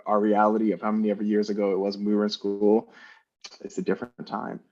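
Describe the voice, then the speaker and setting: A man with a lowish voice muses in a relaxed way on a video call, his phrases fading out quietly. The sound is dull and lo-fi, missing its top end. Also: fast. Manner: relaxed, musing, trailing off softly. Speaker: panelist, parent. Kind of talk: casual panel chat.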